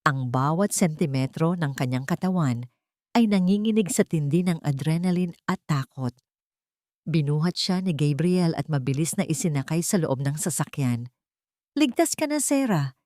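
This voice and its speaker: Woman telling a dramatic love story, lowish voice, dry close sound. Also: normal speed, a little mellow, no echo. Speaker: story narrator. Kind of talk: dramatic scripted narration of a romance drama.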